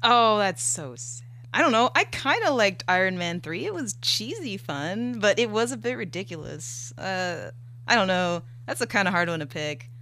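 A woman's voice: medium pitch, expressive, moderate pace, sharp tone. A young woman speaking as an interview guest, chatting casually and a little hesitantly. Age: young adult. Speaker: guest, animator and illustrator.

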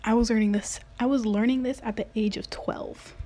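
A woman's voice: on the high side, steady tone, fairly quick, warm tone. A woman in her late teens from the United States, earnestly telling a personal story in a casual way, with many "like" fillers. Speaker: vlogger.

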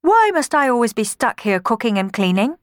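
A woman voicing a gentle, wronged young kitchen girl in a storybook, halting and pleading but spirited. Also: mid-range voice, no room sound, studio quality, lightly acted. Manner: stammering, pleading, muttering.

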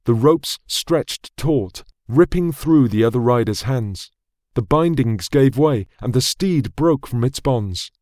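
A man narrating a fantasy audiobook in a measured, dramatic storytelling manner on a close studio mic. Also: medium pitch, darkish tone, no room sound.

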